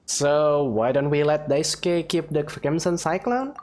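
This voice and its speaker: A woman playing a dry, wry young traveler in a video game, deadpan with sardonic asides. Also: deep voice, unhurried. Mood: casual, dry.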